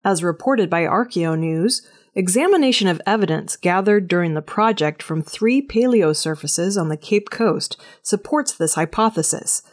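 A woman's voice: on the low side, animated, normal speed. A woman working as a news reader, delivering scripted audio news narration.

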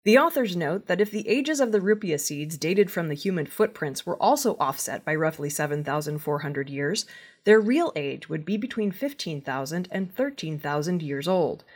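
A woman reading scripted news as a presenter, in a measured, formal newsreader manner. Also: lowish voice, breathy edge.

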